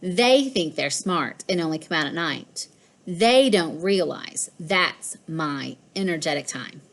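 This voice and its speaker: Woman reading as a smug, slow-talking storybook cat. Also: deep voice, expressive, vocal fry, exaggerated voice. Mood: boastful. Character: smug, mischievous pet house cat who boasts. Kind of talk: storybook read-aloud.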